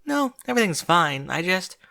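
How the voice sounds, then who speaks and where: A man's voice: high voice, very animated, normal speed, gravelly, pushed and bright, pitched above the performer's own voice, full character voice. A man voicing a bookish, anxious female unicorn who speaks earnestly and fretfully in a storybook reading. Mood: worried, embarrassed.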